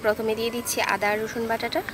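A woman, calmly narrating a cooking video step by step as a channel host. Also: fairly high pitch, very fast, background noise. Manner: calm, explanatory, gives tips. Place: outdoors on grass, cooking on a stove.

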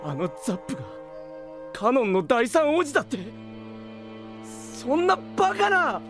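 A man's voice: very high voice, wildly swooping pitch, normal speed, over music, exaggerated voice. A man playing a defiant, bitter young villager in an anime audio drama. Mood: shocked anger.

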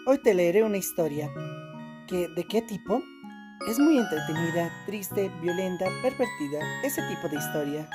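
A woman voicing a gentle cat-like creature soothingly telling a bedtime story in a game fan dub. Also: lowish voice, expressive, normal speed, lightly acted. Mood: gentle, caring. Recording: music loud behind the voice. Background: tinkling music.

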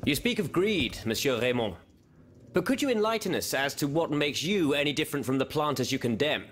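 A man's voice: on the high side, lively intonation, a little fast, fairly bright tone, slight background noise. A man playing a stern, accusing French revolutionary idealist in a period game drama, challenging others formally and rhetorically.

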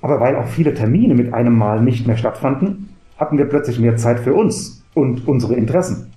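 A man playing an articulate, bookish teacher in a cabaret audio play, measured, wry and wordy. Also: medium pitch, mellow, reverberant, natural voice. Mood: earnest.

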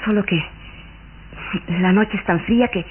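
An actress playing a nervous, well-bred young daughter, polite and pleading, in an old radio drama. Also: female voice, medium pitch, a little slow, lightly acted. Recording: tinny, noisy old radio recording.

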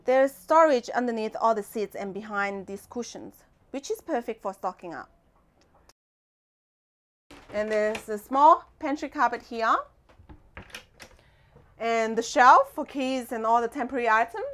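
Young female vlog host, fairly high voice, casual guided-tour delivery, recorded in a compact boat cabin. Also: measured pace, long pauses, fairly bright tone. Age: young adult. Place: inside a small sailboat cabin.

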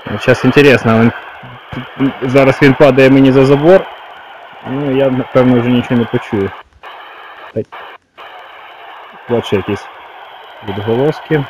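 A man's voice: medium pitch, fairly even intonation, a little slow, pauses often. A man, speaking as an amateur radio operator making calls and commenting between them. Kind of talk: radio calls with phonetic alphabet and muttered commentary. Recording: noisy radio static with clicks.

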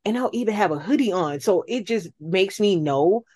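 A woman's voice: medium pitch, lively intonation, normal speed, darkish tone. An American woman in casual chat as a podcast guest, telling stories and acting out reactions.

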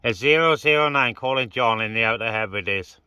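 A man's voice: medium pitch, fairly quick, bright, forceful tone, clean tone, no echo. A man chatting casually in a laid-back, informal way as he hosts an outdoor vlog and talks on CB radio. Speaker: host, CB radio operator. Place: outdoors on open moorland by car boot.

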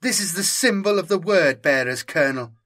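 Man doing cold imperious woman inquisitor, curt, commanding, raised pitch, audiobook. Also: lively intonation, brisk, a bit bright. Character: cold, commanding female inquisitor with augmetic eye. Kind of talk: audiobook character voice.

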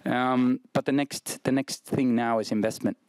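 A man in his forties with a Swedish accent, answering questions as head coach at a press conference, measured and restarting often. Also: medium pitch, steady tone, darkish tone, clear, resonant tone, no room sound, low-quality recording. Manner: measured, frequent restarts and ums. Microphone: desk mic on stand.

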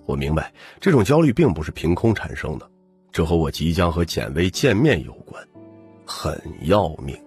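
A man's voice: low-pitched, sing-song, normal speed, gravelly, darkish tone, faint music behind. A man narrating a novel in the first person as a scripted audiobook.

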